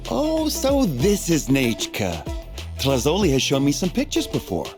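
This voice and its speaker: A man's voice: a little high, huge pitch swings, unhurried. A man playing a friendly, generous, easygoing shopkeeper in a video game. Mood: genial, generous. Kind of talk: English video game voice acting. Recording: game music behind the voice.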